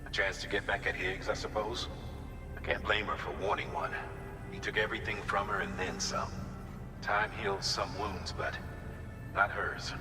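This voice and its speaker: An actor playing a composed, persuasive commander in a video game, speaking in a measured, matter-of-fact way. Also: male voice, on the low side, close to an everyday voice. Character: composed, persuasive commanding man briefing an operative. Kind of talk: video game cutscene acting. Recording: echoey hall with music behind.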